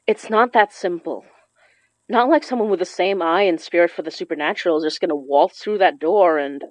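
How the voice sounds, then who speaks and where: A woman playing an earnest, high-strung occult practitioner in an audio drama, speaking in an animated, natural way. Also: fairly quick, no echo, natural voice, poor audio. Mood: serious. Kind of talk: audio fiction podcast acting.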